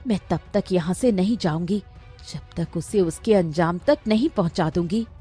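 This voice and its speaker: A woman voicing a cunning, vengeful, ageless sorceress princess in an animated fairy tale. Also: on the low side, normal speed, slightly rough, faint music behind. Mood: menacing, defiant.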